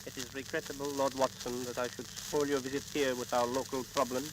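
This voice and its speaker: A man playing a dignified, fatherly rajah in 1930s radio drama, speaking formally and deliberately on a crackling old disc. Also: mid-range voice, level tone, close to an everyday voice. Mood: gracious, measured. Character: dignified, fatherly rajah ruling a tropical island. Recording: noisy, crackling 1938 transcription disc.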